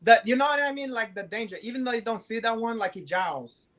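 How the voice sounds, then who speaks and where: A man's voice: very high-pitched, expressive, quick pace, fairly bright tone. A man with a Brazilian accent co-hosting a show, boasting, swearing and joking. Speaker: co-host. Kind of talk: profane comic banter.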